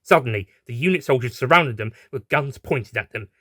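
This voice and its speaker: A man's voice: mid-range voice, animated, fairly quick, fairly bright tone, dry recording, clean studio sound. A man reading a scripted adventure story as its narrator.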